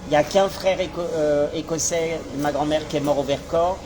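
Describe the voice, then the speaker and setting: A man's voice: on the high side, steady tone, normal speed, noisy background. A man from France chatting casually with a stranger, rambling and hesitant, with many fillers. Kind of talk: casual chat.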